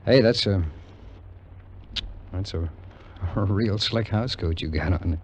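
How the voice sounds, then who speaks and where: A man's voice: lowish voice, a little slow, slightly rough. An actor playing a wry, wary American war veteran telling his tale in a 1950s radio drama, in a hesitant, colloquial way. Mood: awkward, hesitant. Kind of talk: 1950s radio drama acting and first-person narration. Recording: muffled vintage 1950s radio recording, music behind.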